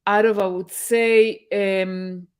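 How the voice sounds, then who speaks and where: A middle-aged woman from Israel, a guest on a video-call interview, speaking thoughtfully with many pauses and fillers. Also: mid-range voice, fairly even intonation, clear, resonant tone. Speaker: guest, tech mentor.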